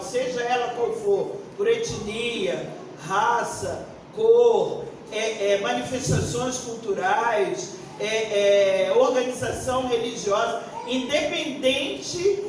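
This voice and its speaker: A Brazilian woman of sixty-one answering on a forum panel like a pastor, deliberate and emphatic, searching for words as she goes. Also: fairly high pitch. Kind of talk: unscripted panel answer with preaching tone. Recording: echoey room, distant mic, faint noise.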